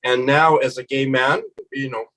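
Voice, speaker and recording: A middle-aged man in casual book chat, co-hosting and talking in a thoughtful, unhurried way. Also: mid-range voice, steady tone, bright, forceful tone, poor audio. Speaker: booktuber co-host.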